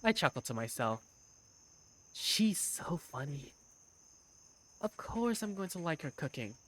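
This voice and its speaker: A woman's voice: on the low side, fairly bright tone. A woman voicing an easygoing young male bar waiter who narrates his day in a visual novel fan dub.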